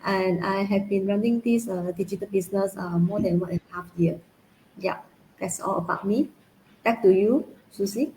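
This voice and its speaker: A woman's voice: medium pitch, moderate pace, mellow. A woman with a Malaysian accent chatting casually and playfully on a live group call, repeating words for emphasis. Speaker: online business owner, panelist. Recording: roomy echo with faint background noise.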